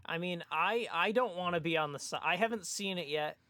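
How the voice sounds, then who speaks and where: A man co-hosting a podcast in casual chat, with a hesitant, halting way of talking. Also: high-pitched, sharp tone, dry recording. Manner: hesitant, halting, repeats word starts. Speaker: podcast co-host.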